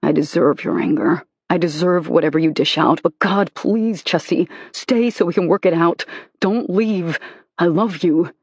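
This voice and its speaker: An audiobook narrator voicing a remorseful husband who softly begs his wife to stay. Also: medium pitch, moderate pace, breathy edge, no echo. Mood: pleading. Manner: pleading, soft, contrite. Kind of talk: audiobook character reading.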